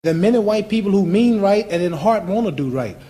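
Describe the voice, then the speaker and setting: A man orates in a high-pitched yet dark, mellow voice. His delivery is rhetorical. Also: expressive, fast talker. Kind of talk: public oratory.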